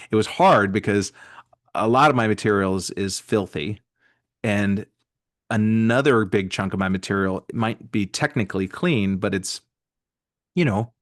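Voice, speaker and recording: A man's voice: on the low side, unhurried. A man in his forties, a comedian from near Boston, chatting casually and rambling with many fillers. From near Boston, USA. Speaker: stand-up comedian, guest. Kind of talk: casual podcast chat.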